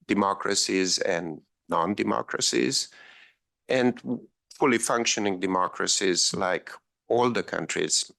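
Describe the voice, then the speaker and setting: A man's voice: lowish voice, creaky, a bit bright, no room sound. A man from Romania giving a policy panel talk, with frequent ums and wry asides. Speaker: panel speaker.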